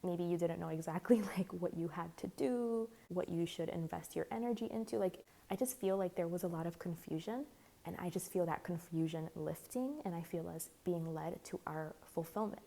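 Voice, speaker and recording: Tarot reader, woman, lowish mellow voice going a bit hoarse; rambly, filler-heavy casual delivery. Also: moderate pace. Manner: rambling, full of "like" and "you know". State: slightly hoarse, losing her voice. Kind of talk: casual tarot reading to camera.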